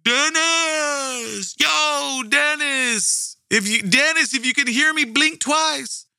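A man playing a frantic father who keeps shouting for his lost son. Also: very high-pitched, slow pace, gravelly, no room sound, clean studio sound, pitched above the performer's own voice, full character voice. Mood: frantic calling. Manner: shouting a name over and over.

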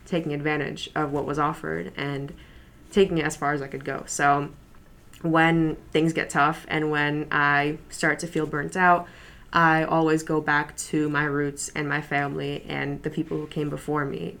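Low voiced teen girl, first language polish, chatty laid back interview guest, lots of um and like. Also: moderate pace, vocal fry. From New York City, USA. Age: high school senior. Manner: relaxed, chatty, frequent fillers and soft laughs. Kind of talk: casual interview.

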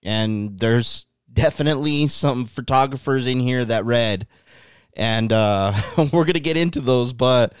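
A man's voice: medium pitch, measured pace, clean tone, dry recording, clean studio sound. A man co-hosting a podcast in casual chat, laid-back, swearing freely and laughing often. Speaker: podcast co-host.

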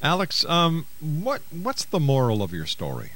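A man's voice: fairly high pitch, huge pitch swings, a little slow, fairly bright tone. A man hosting a radio show, interviewing a guest and reading out announcements. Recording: hissy radio broadcast.

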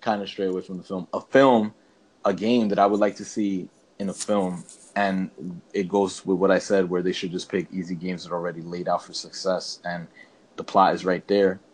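A man's voice: sits low, level tone, moderate pace, poor audio. A man co-hosting a podcast, chatting casually and giving opinions in an informal, rambling, slangy way. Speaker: podcast co-host. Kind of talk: casual opinionated chat about games and films.

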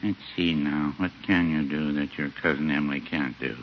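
An actor playing a mild, gently contrary old small-town man in a 1950s radio comedy, dry, folksy and hesitant. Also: extremely low pitch, fairly even intonation, normal speed. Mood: mildly teasing. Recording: dull, noisy 1950s radio recording.

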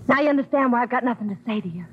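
A woman's voice: a little high, rapid-fire, breathy edge. An actress playing a bitter, defiant, scornful woman stonewalling a detective in a vintage radio crime drama. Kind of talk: 1940s-50s radio crime acting. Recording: muffled, noisy old radio recording.

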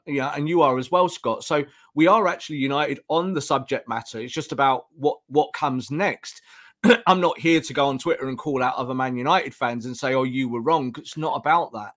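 A man's voice: mid-range voice, brisk, a bit bright. A man co-hosting a football podcast, talking earnestly with frequent restarts while losing his voice. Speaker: podcast co-host. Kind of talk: casual football fan discussion.

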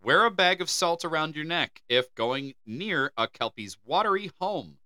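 Brief: American man, podcast co-host, very bright voice, dry close sound, reads lore then riffs. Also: on the high side, lively intonation, normal speed, no echo. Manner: reads folklore aloud, then riffs with swearing and laughs. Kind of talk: casual comedy podcast chat.

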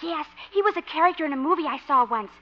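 A gushing, naive chatterbox in a 1950s radio comedy. Her voice is extremely high and quick, coming through a muffled old recording. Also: animated. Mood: gushing, chatty. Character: chatty, gushing, naively worrying woman acquaintance.

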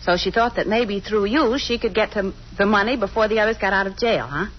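A woman's voice: mid-range voice, a little fast, breathy edge, close to an everyday voice. An actress playing an inquisitive, possessive girlfriend in 1940s radio style, on an old recording. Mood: curious. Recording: muffled, noisy old-time radio recording.

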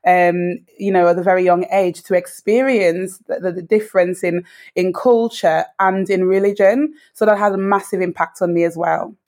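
Thirties woman, British accent, interview guest, thoughtful and earnest, pauses often. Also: lowish voice, steady tone, a little mellow. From Dominica. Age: 30s. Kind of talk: reflective personal storytelling.

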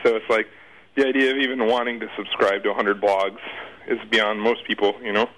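A man's voice: on the low side, fairly even intonation, a little fast, a bit bright, rough recording. A man answering casually as an interview guest, rambling off the cuff, repeating words and trailing off.

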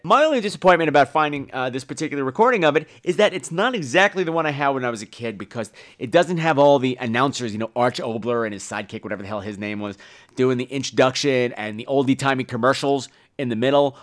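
A man's voice: mid-range voice, lively intonation, a little fast, a bit bright. A man hosting a podcast in a casual comic monologue, theatrical and profane, often interrupting himself. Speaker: podcast host.

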